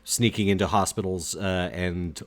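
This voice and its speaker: A man casually describing his character as a player in a tabletop game, haltingly searching for words. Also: low voice, fairly even intonation.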